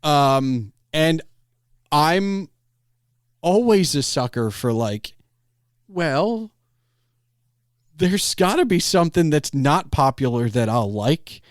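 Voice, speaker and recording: Rambling middle-aged man, higher voice, dry sound. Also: sing-song, slow, pauses often, no room sound. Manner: rambling, digressive, talks in broken-off clauses. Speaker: podcast host. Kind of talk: casual podcast conversation.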